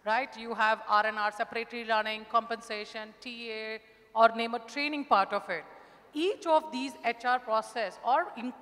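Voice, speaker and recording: A woman's voice: a little high, fairly bright tone. A middle-aged woman giving an unscripted answer as a panelist on a conference stage. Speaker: panelist, HR business partner. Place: large conference hall stage, indoors. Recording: reverberant conference hall.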